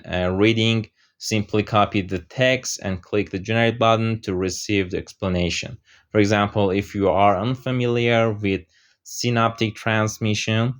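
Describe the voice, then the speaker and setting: A man with a fairly low voice and Persian as his first language walks through a software tool over a screen recording. He explains each step steadily, often pausing on "uh". The voice-over has faint mouse clicks underneath. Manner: steady explaining with frequent "uh" fillers. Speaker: software tutorial presenter.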